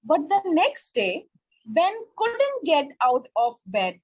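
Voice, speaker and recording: High-pitched woman reading a story slowly. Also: wildly swooping pitch, highs cut off. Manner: careful, halting reading. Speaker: narrator in a reader's theatre. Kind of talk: slow read-aloud story narration.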